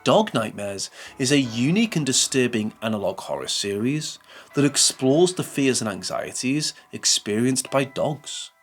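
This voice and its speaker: A man reading a scripted video essay as narrator, in a measured, formal way. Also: medium pitch, expressive, soft background music.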